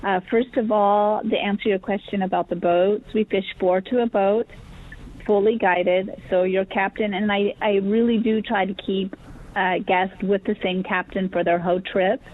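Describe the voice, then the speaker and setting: Woman, phone-like sound, eager halting talk, slightly hoarse, mid pitch. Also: fairly even intonation. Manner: enthusiastic, halting with many fillers. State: slightly losing her voice. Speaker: fishing lodge owner, guest. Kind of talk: radio call-in guest talk. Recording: tinny phone line.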